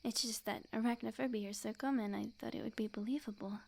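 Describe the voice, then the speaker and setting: A woman playing a sweet, clingy, lovesick young witch in an ASMR roleplay, speaking softly and breathily in an intimate, coy, earnest way. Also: fairly high pitch, steady tone, fast talker. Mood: resigned. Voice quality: soft, breathy, often near-whisper.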